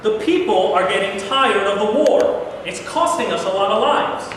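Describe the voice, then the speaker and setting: A man's voice: high-pitched, expressive, normal speed, fairly bright tone. A man in a staged reading playing an irritable, plain-spoken American president with a blunt, impatient manner. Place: live reading in a large echoing room. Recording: very echoey noisy hall, from far off.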